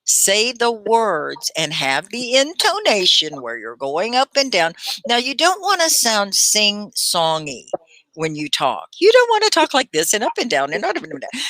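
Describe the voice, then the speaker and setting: A woman's voice: medium pitch, pushed and bright, no echo, poor audio. A middle-aged woman from Texas hosting a live online English lesson, explaining with animation and laughing often. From Texas, USA. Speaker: ESL teacher and show host.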